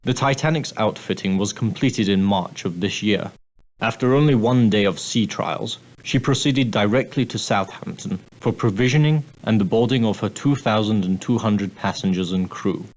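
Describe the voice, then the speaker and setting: An actor playing a prim, straight-laced ship's steward in an adventure game, speaking formally with dry, condescending politeness. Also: male voice, on the low side, normal speed, a little mellow, natural voice, low-quality recording. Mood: formal, courteous. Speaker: steward and guide on an ocean liner. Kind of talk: scripted adventure game character dialogue.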